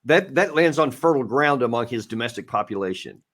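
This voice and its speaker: A man interviewing a guest in a casual, somewhat halting way, often repeating words as he forms questions. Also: mid-range voice, lively intonation, a bit bright. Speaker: show host interviewer.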